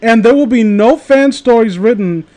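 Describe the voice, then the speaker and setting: A man's voice: very high-pitched, moderate pace, mellow. A man hosting a livestream, chatting casually with friends and viewers in a sardonic, profane way. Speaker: livestream host.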